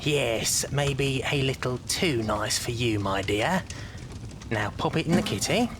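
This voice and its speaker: An actor playing a wily old leader of child pickpockets in an audio drama, coaxing in drawn-out phrases with faint menace. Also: male voice, medium pitch, lively intonation, noisy background. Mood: dry, wary.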